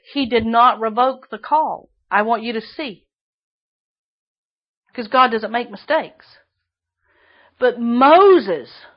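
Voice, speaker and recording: A woman preaching a sermon with a shrill voice, emphatic and repeating phrases for stress. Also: lo-fi sound.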